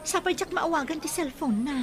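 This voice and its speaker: A woman's voice: high voice, a little fast, close to an everyday voice. A woman playing a sensitive young woman in a Filipino audio drama. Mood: worried. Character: sensitive young woman, loyal friend, easily hurt in love.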